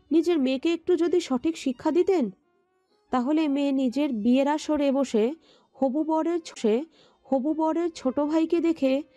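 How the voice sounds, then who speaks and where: Haughty scolding auntie, woman acting, high but soft-edged mellow voice, sarcastic, audio story. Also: no room sound. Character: scornful, scolding older female wedding guest. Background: music.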